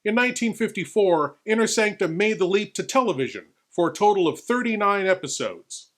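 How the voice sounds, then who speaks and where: A man's voice: sits high, lively intonation, brisk, a bit bright. A man playing a ghoulish lord who hosts a horror show, speaking in a grandiose, theatrical scripted style. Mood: spooky, informative.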